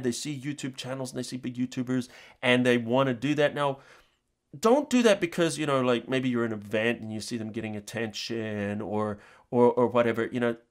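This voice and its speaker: A middle-aged man with an average-pitched voice. He hosts a tutorial channel and talks casually to viewers, wandering a little from thought to thought in an informal, direct way. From New Zealand.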